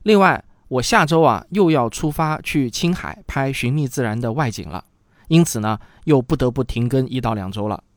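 A man's voice: mid-range voice, lively intonation, moderate pace, no room sound. A man hosting a programme, talking to listeners in a conversational, explanatory way. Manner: conversational, explanatory, rhetorical questions. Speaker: host and producer. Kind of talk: chatty programme outro addressed to listeners.

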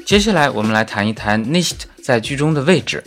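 A male native Chinese speaker gives a grammar lesson with highly varied intonation. He is didactic yet conversational, slipping in casual asides and stating examples carefully. Soft music sits faintly behind him. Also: lively intonation, brisk. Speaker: German grammar teacher.

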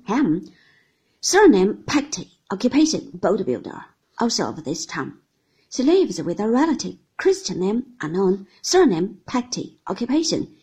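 A woman voicing a confident young gentleman who speaks slowly and distinctly, in a breathy voice. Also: medium pitch, full character voice. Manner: measured, deliberate, slow and distinct.

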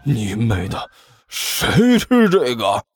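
A blustering demon king from a comic audio story, acted out by a male narrator. He stammers heavily and drags his words out slowly in a rough, husky voice. His indignant challenges rise and fall in lively swings over background music. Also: fairly high pitch, voice pushed up, exaggerated voice. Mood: outraged. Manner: heavy stammer, drawn-out shouted words.

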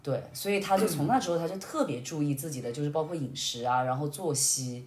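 A woman chatting casually as a podcast guest, telling personal stories in an animated, reflective way and explaining things step by step. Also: low-pitched, quick pace. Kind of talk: casual conversational personal storytelling. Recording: roomy, echoing indoor sound.

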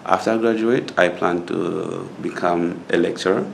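A young man from Zambia answers interview questions in a very deep, gravelly voice, pausing often with fillers. Faint noise hums behind him. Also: steady tone. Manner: hesitant, many filler pauses. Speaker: student taking a mock speaking test.

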